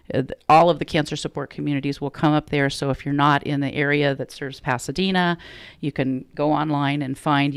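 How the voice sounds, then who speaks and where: A woman with a low voice and a gravelly edge, talking earnestly as a guest on a radio interview. She tells her stories with quoted back-and-forth, and her voice sits close and dry on the microphone. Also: no room sound. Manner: earnest, storytelling with quoted dialogue. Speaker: program director, radio guest.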